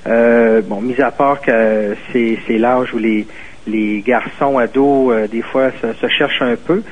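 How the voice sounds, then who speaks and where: A man's voice: medium pitch, fairly even intonation, takes it slowly. Hesitant man on a tinny phone line. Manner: hesitant, many fillers and restarts. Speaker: caller. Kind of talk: call-in radio talk.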